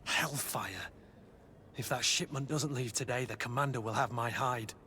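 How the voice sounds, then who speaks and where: Man acting a blunt soldier, mid-pitched. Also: normal speed, sharp tone, a little hiss or hum, lightly acted. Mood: worried, grumbling. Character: gruff, worried male soldier bodyguard. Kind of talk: video game cutscene dialogue acting.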